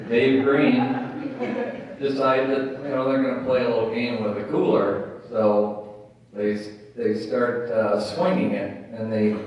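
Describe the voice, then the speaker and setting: A middle-aged or older man telling informal anecdotes in a halting, amused way, as a speaker at a gathering. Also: medium pitch. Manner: halting, chuckles through his stories. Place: echoey room at a memorial gathering. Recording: echoey room, distant mic, faint noise.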